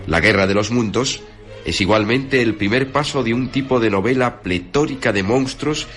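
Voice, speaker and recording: A man's voice: mid-range voice, normal speed, soft background music. A man reading scripted literary commentary as a radio narrator.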